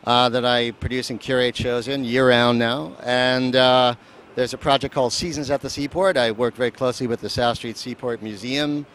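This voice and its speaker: A man's voice: mid-range voice, steady tone, moderate pace, fairly bright tone. Rambling man outdoors in city traffic. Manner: rambling, joking, lots of fillers. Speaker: festival producer. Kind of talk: casual street interview. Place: outdoors at a seaport. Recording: faint street noise and traffic.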